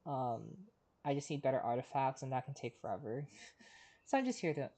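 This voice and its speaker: A woman streaming a game, chatting casually in a rambling, halting, reflective way. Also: rumbling low, lively intonation, dark tone. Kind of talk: casual livestream chat. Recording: stream voice over game music.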